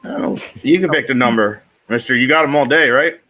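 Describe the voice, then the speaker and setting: A man's voice: medium pitch, fast talker, sharp tone, low-quality recording. A man on a livestream panel, chatting casually and teasing the others. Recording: background room noise.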